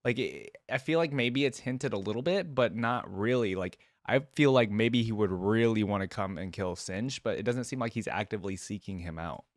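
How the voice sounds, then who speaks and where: Casting: male reaction host, slightly low voice, dry close sound, conversational and speculative. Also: moderate pace, hardly any pauses, dry recording. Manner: musing, conversational, speculating aloud. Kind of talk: casual reaction commentary.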